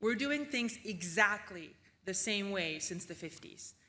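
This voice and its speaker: Woman, keynote speaker, bright clear tone, relaxed chatty delivery full of ums. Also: medium pitch, unhurried. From Austria. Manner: casual, chatty delivery with frequent ums and tag questions. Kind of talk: conference keynote presentation.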